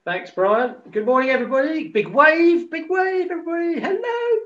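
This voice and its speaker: Brief: croaky man leading worship, high and bouncy voice, joking greeter, heard from a distance on a dull recording. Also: expressive, normal speed, a bit bright. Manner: jokey, cheerful greeter. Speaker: worship leader.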